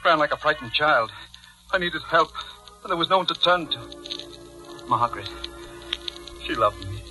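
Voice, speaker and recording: An actor playing a panicked judge turned murderer, melodramatic and pleading, in 1940s radio thriller style. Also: male voice, on the high side, lively intonation, normal speed, close to an everyday voice, rough recording. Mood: haunted, panicked. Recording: old 1940s radio recording with music.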